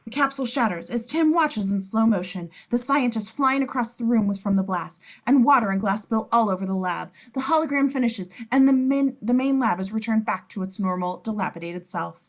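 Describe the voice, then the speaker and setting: A woman's voice: a little high, expressive, a little fast, few pauses. A woman narrating as scene description reader, reading screenplay stage directions aloud at a table read.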